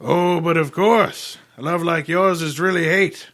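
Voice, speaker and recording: A man playing a pompous genius detective, bright and forceful. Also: a little high. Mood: accusing, triumphant. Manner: grandiloquent, deliberate, theatrical. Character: pompous, erudite, gluttonous male genius detective. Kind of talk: old-time radio detective acting.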